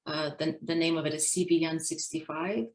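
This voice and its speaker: A middle-aged woman giving a prepared personal talk as a guest speaker, in a measured, explanatory manner. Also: low voice, steady tone. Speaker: guest speaker, Navy dentist.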